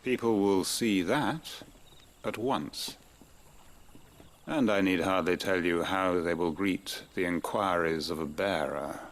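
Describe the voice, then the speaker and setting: An actor playing a shrewd, dignified tavern owner in a fantasy game, speaking formally with measured, knowing authority. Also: male voice, low-pitched. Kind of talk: video game cutscene acting.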